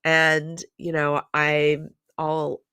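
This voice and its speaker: A woman's voice: sits low, monotone, extremely slow, bright, forceful tone, clean tone, no room sound, clean studio sound. A woman hosting her scrapbooking video, chatting casually with viewers while stuffed up from a cold, full of ums and apologies. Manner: chatty, apologetic, lots of fillers. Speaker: scrapbooking channel host.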